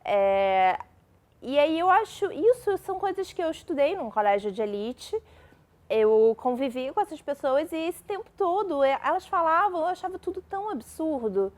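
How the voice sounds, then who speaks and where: A woman's voice: high-pitched, expressive, moderate pace, darkish tone. A young woman from Brazil, a novelist guest in an interview, talking casually with many fillers and restarts.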